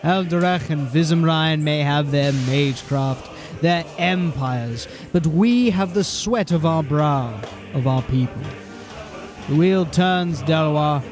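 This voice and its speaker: Brief: a pompous lecturing captain, slightly high voice, faint music behind. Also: male voice, slow. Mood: grandiose. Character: haughty, pompous captain, middle-aged man. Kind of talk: audio drama acting.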